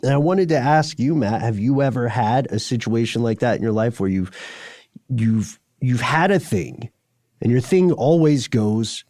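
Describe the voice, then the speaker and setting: Male podcast host, medium pitch, conversational and discursive, recorded very dry. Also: animated, unhurried, a little mellow, no room sound. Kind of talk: casual conversational podcast talk.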